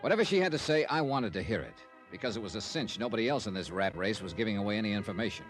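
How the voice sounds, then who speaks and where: An actor playing a wry, hard-boiled insurance investigator in a 1950s radio drama, with punchy, clipped delivery. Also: male voice, lowish voice, lively intonation, rapid-fire, close to an everyday voice. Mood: wry narrating. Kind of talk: 1950s radio detective acting and narration. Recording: old radio recording with music.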